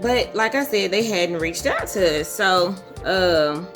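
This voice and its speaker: Young woman, hoarse and husky, relaxed chatty vlogger, clear music bed. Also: lowish voice, slow. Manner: relaxed, chatty, says y'all and um often. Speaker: beauty vlogger. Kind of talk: casual storytelling chit chat.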